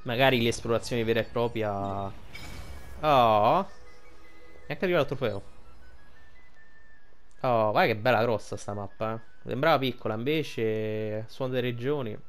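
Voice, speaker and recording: A man's voice: medium pitch, moderate pace, long pauses, smooth. A man giving casual, colloquial game commentary, rambling and thinking aloud. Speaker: gameplay video creator. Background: game music.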